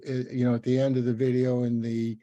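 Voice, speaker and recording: A man hosting a casual podcast interview, talking in a halting, rambling, encouraging way. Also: medium pitch, completely flat delivery, dark tone, no echo, highs cut off. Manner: halting, rambling, with many repeated word starts.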